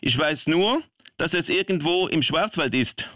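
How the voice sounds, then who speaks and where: A man's voice: fairly high pitch, expressive, unhurried, bright, forceful tone, dry recording, studio quality. A man playing a solemn, urgent official sending a heroine on a quest in an amateur radio play, over music. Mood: grave, urgent.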